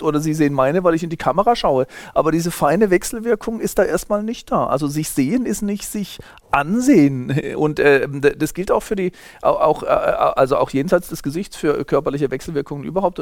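A man's voice: a little high, darkish tone, no echo, clean studio sound. A man in his fifties from Germany, a sociologist being interviewed, explaining at length in a lecturing way with frequent hesitations and restarts. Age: 50s. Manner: lecturing, many fillers and restarts. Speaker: sociologist guest. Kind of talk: thoughtful explanatory interview talk.

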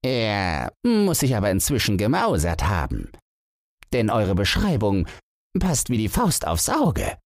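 A man's voice: on the low side, huge pitch swings, unhurried, no echo, clean studio sound, voice pushed up, heavily acted. A man voicing a garrulous older horseman, a grumbling and mocking servant of the king in a fairy tale audiobook. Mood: exasperated.

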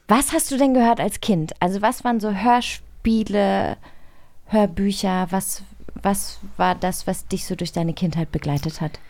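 A woman's voice: mid-range voice, unhurried, a little mellow. A woman co-hosting an interview show casually, with an effusive, playful and informal way of talking. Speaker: podcast co-host.

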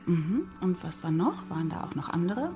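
A woman's voice: fairly low pitch, very animated, normal speed, music in the background. A woman asking probing questions in a radio feature.

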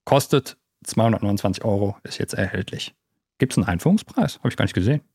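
A man's voice: lowish voice, animated, quick pace, darkish tone, breathy edge, dry recording. A man chats through a gear review as a podcast co-host, enthusiastic and colloquial. His speech is sprinkled with English technical jargon. The sound is dry, with hardly any room on it. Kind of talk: casual gear review chat.

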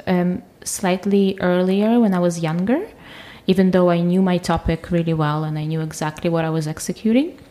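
A young adult woman from Ukraine, a guest in an interview, speaking casually with many fillers and restarts. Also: medium pitch. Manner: relaxed, lots of fillers and restarts.

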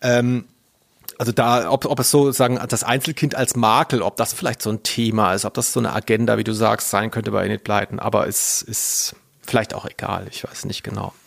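A man's voice: fairly low pitch, steady tone, brisk, vocal fry, no room sound, studio quality. A man hosting a podcast, talking casually in a hesitant, self-deprecating way and at times reading aloud. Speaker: podcast host.

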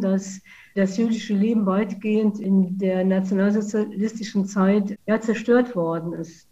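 Woman, mellow voice. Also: medium pitch, muffled recording. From Saarland, Germany. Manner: measured, explanatory. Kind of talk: interview answers.

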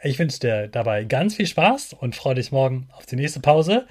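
A man's voice: medium pitch, big pitch swings, normal speed, darkish tone. A man hosts a children's podcast, talking directly to the child in simple, encouraging, motivational words.

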